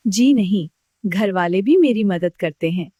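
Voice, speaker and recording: A woman playing a kindly older village farmer in a children's cartoon, speaking gently and clearly. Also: mid-range voice, lively intonation, measured pace, faint music behind. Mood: kindly, content. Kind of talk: scripted children's educational cartoon dialogue.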